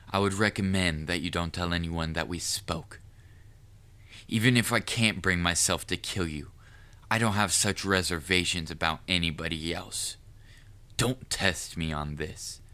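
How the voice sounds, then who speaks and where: Guy voicing a deep forceful assassin who's smitten, proud and kinda menacing, talks all formal and deliberate, audio rp. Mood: quietly threatening. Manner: formal wording, deliberate, measured. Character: lovestruck male assassin, cunning, proud, quietly menacing yet smitten. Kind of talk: scripted audio roleplay monologue addressed to the listener.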